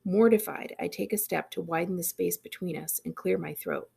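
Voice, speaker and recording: A woman narrates a story aloud with expression. Her voice is very creaky and husky, sitting slightly low. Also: moderate pace, warm tone. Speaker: librarian reading aloud.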